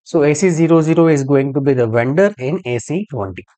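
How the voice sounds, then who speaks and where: Man narrating a software tutorial. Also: fairly high pitch, lively intonation, a little fast. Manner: step-by-step explaining, spelling out codes. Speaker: software trainer. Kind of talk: screen-share tutorial narration.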